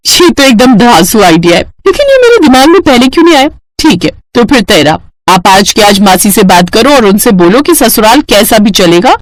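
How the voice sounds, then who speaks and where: A woman acts out an excitable young daughter from an animated family story. Her voice sits high and she gushes dreamily about what she wants. It is recorded dry and close. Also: dry recording, poor audio. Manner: gushing, bubbly. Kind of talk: animated moral-story voice acting.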